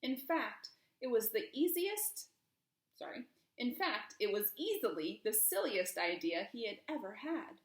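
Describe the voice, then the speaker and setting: A woman's voice: fairly high pitch, sing-song, a little slow. A woman reading a children's storybook aloud expressively, with emphasis and questions put to young listeners. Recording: roomy, echoing room.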